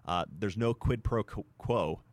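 Low-voiced man, sports radio co-host, explanatory, point-by-point delivery, conversational discussion. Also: measured pace.

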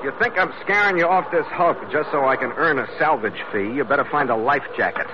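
A man's voice: on the high side, fairly quick, close to an everyday voice. Man acting a tough sea captain, old-radio sound. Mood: hard-boiled. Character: tough, decisive sea captain who narrates his adventures. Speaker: actor in the lead role. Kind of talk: classic radio drama acting and narration. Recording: dull, noisy old radio recording.

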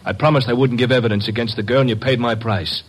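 A man's voice: mid-range voice, steady tone, fast talker, slightly rough, lightly acted. An actor playing a shifty tough-guy witness with slangy street talk, in 1940s radio acting.

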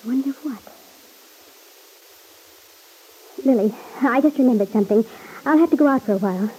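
A worried, gentle young woman in a vintage radio soap. Her voice is fairly high, soft and mellow, with a breathy husk. She is hesitant and earnest, as if guarding something. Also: very fast, low-quality recording. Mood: suspicious, uneasy. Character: Lisa, anxious, secretive, gentle young woman. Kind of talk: old-time radio serial acting.

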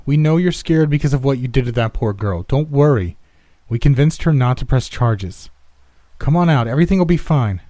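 A man voicing an urgent, coaxing woman who yells and pleads in a horror story. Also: medium pitch, expressive, moderate pace, no room sound, voice pushed up, lightly acted. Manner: yelling, pleading. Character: coaxing, urgent woman calling someone out of hiding.

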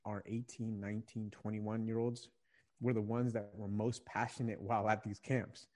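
Mellow, earnest young man, low-pitched. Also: level tone, moderate pace. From Virginia, USA. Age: young adult. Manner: earnest and reflective, often restarts phrases while searching for words. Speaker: podcast guest, young adult ministry leader. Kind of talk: casual conversational interview.

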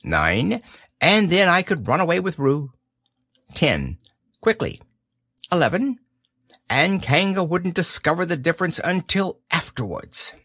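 A man voicing a bossy, self-important male rabbit who reads out his plan briskly. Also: a little high, sing-song, pushed and bright, no echo, studio quality, voice pushed up, heavily acted. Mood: pompous.

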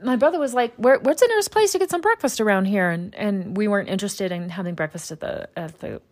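A woman from Utah telling a long family story in casual conversation, wry and deadpan, with many fillers. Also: medium pitch, fast.

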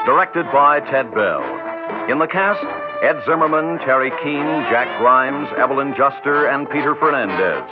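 American guy doing old timey radio announcer credits, lively pitch, loud music behind, tinny audio. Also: moderate pace. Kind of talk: formal scripted 1960s radio credits.

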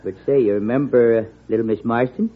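An actor playing a good-humored, deferential small-town friend with a rural American accent in an old-time radio play. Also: male voice, medium pitch, a little fast, natural voice. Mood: gossipy, amused. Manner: homespun, chuckling, deferential. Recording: muffled, tinny old radio broadcast.

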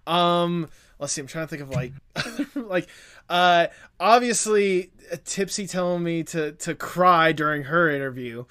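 A man's voice: a little high, a little slow, bright, forceful tone, clean tone, dry recording, clean studio sound. A man hosting a casual podcast, rambling with many fillers and restarts as he recalls past episodes. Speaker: podcast host.

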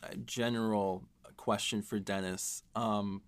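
A man's voice: lowish voice, level tone, slow, clear, resonant tone, no echo. A man co-hosting a podcast, talking casually and putting questions to a guest. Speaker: podcast co-host and series writer.

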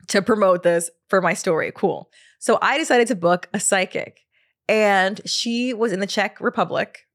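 A woman's voice: on the low side, fairly bright tone. A woman, hosting her podcast in a casual solo chat, laughing often and trailing off with fillers. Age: 30s. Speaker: podcast host.

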